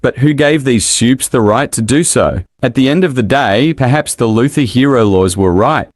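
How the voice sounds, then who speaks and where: A man's voice: mid-range voice, normal speed, no echo, studio quality, close to an everyday voice. A man playing a grave, opinionated TV news anchor in an audio drama, with a formal, editorializing delivery. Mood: grave disapproval.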